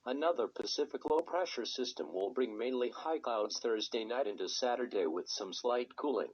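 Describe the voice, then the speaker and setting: Husky synthetic male weather announcer. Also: medium pitch. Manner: measured, impersonal synthetic delivery. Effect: synthetic text-to-speech voice on weather radio. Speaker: automated weather radio announcer. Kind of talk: scripted weather forecast reading. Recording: dull lo-fi weather radio recording.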